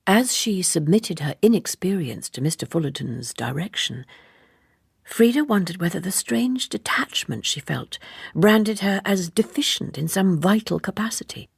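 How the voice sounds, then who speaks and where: Low, very creaky woman audiobook narrator. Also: animated, normal speed, no room sound, studio quality. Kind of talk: literary fiction narration, scripted.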